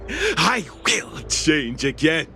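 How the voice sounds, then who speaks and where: An actor playing a deranged, ecstatic cultist in a video game, raving in drawn-out rhapsody. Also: male voice, on the high side, huge pitch swings, music in the background, full character voice. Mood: crazed rapture. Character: mad Mara-struck male cultist, ecstatic and raving. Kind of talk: dramatic video game voice acting.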